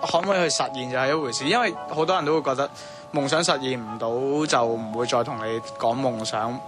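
A man with a Hong Kong accent answers as an interviewee. His pitch is average, and music plays clearly behind his vox pop reply. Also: quick pace. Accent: Hong Kong Cantonese.

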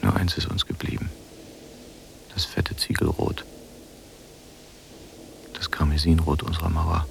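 An actor playing an old blind prisoner in a literary radio play, speaking in halting, solemn words and brooding. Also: male voice, a bass voice, steady tone, gravelly, in a whisper, full character voice. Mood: brooding, intense.

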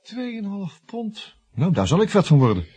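An actor playing a cheeky, ambitious junior estate agent in a radio play, bluffing and flattering hesitantly. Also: male voice, high voice, normal speed, husky, full character voice. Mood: incredulous, wry. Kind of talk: Dutch radio drama acting.

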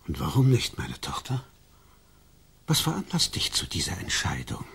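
Gravelly, husky older father. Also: sits low, huge pitch swings, moderate pace, a bit bright, heavily acted. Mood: questioning. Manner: reproachful, urging, theatrical. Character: stern pleading Spanish colonial father of a marriageable daughter. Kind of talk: German radio drama acting.

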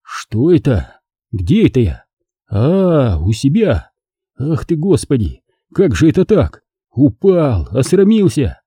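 A man's voice: medium pitch, huge pitch swings, slow, mellow, husky, no echo, clean studio sound, heavily acted. Man playing a groggy, embarrassed young knight. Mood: groggy, ashamed. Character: embarrassed, groggy eighteen-year-old knight.

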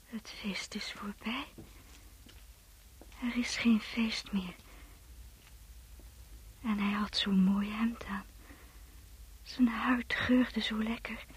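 A woman's voice: a little high, fairly even intonation, very slow, long pauses, full character voice, low-quality recording. A woman playing a dazed, grieving young girl in a radio play, speaking in a dreamy whisper. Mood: dreamy, mournful. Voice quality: breathy whisper. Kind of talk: Dutch radio play acting.

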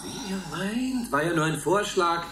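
A man's voice: high-pitched, animated, a little slow, echoey room, noisy background. An actor playing a patronizing male overseer in a radio play, falsely jovial and officious. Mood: smarmy. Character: chirpy, patronizing male overseer of confined elderly people.

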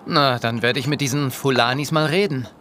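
An actor playing a level-headed police inspector in a radio play, speaking matter-of-factly. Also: male voice, mid-range voice, a little fast, a bit bright, a little hiss or hum, natural voice. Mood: calm. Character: calm, determined police chief inspector.

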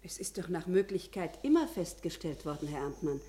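Composed, precise secretary. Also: female voice, on the low side, big pitch swings, a little fast, dark tone. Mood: composed, businesslike. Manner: polite, businesslike, precise. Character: dutiful, composed female secretary taking dictation. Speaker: actor in a radio play. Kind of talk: 1950s German radio drama acting.

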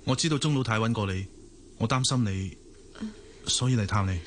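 An actor playing a loyal, concerned young man in a radio drama, earnest and excitable, with a Hong Kong Cantonese accent. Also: lowish voice, a little fast, slight background noise, close to an everyday voice.

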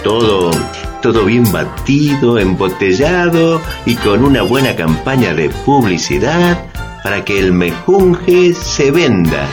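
An actor playing a wry, didactic explainer with an ironic, emphatic manner in a satirical educational radio drama. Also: male voice, lowish voice, big pitch swings, slow pace, music in the background. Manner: ironic, emphatic, rhetorical questions. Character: wry, didactic male explainer debunking foreign patents.

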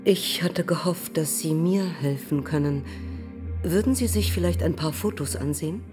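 An actress playing a cool, determined police captain questioning a prisoner in a German radio drama. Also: female voice, sits low, normal speed, over music, close to an everyday voice. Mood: cool, probing. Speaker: actor.

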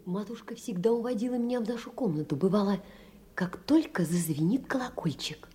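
An actress playing a poor, devoted, anxious young woman in a theatrical audio drama, telling her story in an expressive, intimate way. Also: medium pitch, brisk, lightly acted. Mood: reflective. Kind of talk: theatrical audio drama, reading letters and memoirs.

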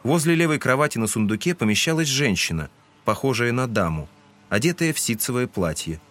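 A male narrator with a mid-pitched voice. He delivers scripted literary prose for an audiobook drama. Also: expressive, fairly quick, fairly bright tone.

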